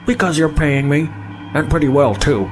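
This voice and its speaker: An actor playing a slippery mercenary trader in an audio drama, glib and falsely courteous. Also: male voice, a little high, normal speed, over music, natural voice. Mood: glib, self-serving. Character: slippery mercenary male trader, breezy and self-serving.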